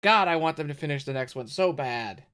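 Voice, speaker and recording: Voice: mid-range voice, expressive, a little fast. A streamer giving casual, slangy, profanity-laced live reaction commentary. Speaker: streamer reacting to a fan dub. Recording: loud game music behind. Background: game music and fan dub voices.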